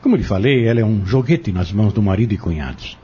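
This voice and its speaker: A man playing a husky, insistent schemer. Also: on the low side, expressive, quick pace, warm tone, dull audio. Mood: urgent, persuasive. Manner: persuasive, goading, formal wording. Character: insistent, scheming man bent on exposing a corrupt family. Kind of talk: audio drama acting.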